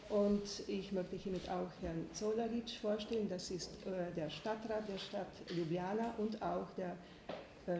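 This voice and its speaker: A woman interprets speeches in a fluent, businesslike way. Her voice is mid-pitched. The echoey room carries clear background noise. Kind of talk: consecutive interpreting.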